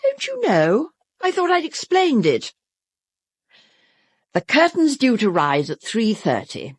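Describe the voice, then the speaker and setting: A woman's voice: fairly high pitch, wildly swooping pitch, slow pace, breathy edge, dry recording, clean studio sound, full character voice. An actress playing a grand, commanding British married woman of the theatre in an audio drama. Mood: brisk, assured. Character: imperious, theatrical married actress who fears for her safety.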